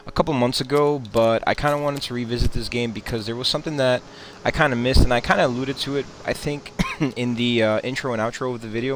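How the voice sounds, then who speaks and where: A man's voice: mid-range voice, slight background noise. A man hosting a gaming channel, giving casual, rambling reaction commentary full of fillers and repeated words.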